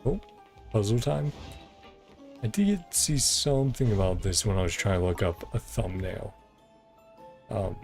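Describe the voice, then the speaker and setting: A man with a mid-pitched, creaky voice talks through a video game as he plays. He thinks aloud in short, casual fragments. Music plays faintly behind him. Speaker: gamer commenting on own playthrough. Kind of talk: casual gameplay commentary.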